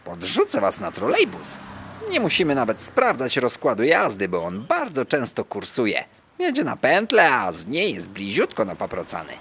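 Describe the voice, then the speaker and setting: A woman voicing a friendly, boisterous pet dog in a children's audio drama, eager and quick to exclaim. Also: very deep voice, wildly swooping pitch, gravelly, fairly bright tone, a little hiss or hum, heavily acted.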